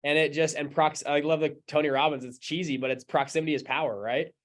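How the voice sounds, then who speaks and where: Fast-talking enthusiastic male host. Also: fairly high pitch, fairly even intonation, fairly bright tone. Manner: fast, enthusiastic, full of fillers. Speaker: podcast host, entrepreneur. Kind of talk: casual interview talk.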